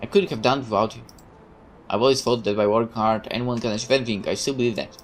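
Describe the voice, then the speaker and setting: Naive young soldier boy, low and hesitant, read by a woman. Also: fast, slight background noise. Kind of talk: reading visual novel dialogue aloud.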